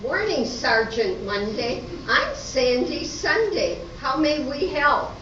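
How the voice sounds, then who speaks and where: Excitable comic lady woman acting, high and sharp, emphatic radio-play delivery, echoey stage. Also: slow pace, heavily acted. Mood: earnest, theatrical. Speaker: amateur radio play actor. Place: live stage performance in a hall. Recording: echoey, distant, dull, noisy stage recording.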